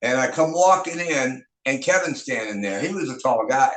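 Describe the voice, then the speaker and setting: An older man recalls old times in an easygoing, rambling way, stopping and restarting often. His voice sits at a middle pitch. The sound has a noticeable room echo around it. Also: expressive, moderate pace, a bit bright. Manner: rambling, many fillers and restarts. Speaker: rock band singer and guitarist. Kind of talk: casual interview storytelling. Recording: echoey room.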